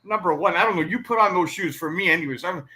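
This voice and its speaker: Bright, high-voiced man hosting. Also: quick pace. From Toronto, Canada. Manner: rambling, enthusiastic fan talk, tags points with "right?". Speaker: sports show host. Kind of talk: casual sports interview chat.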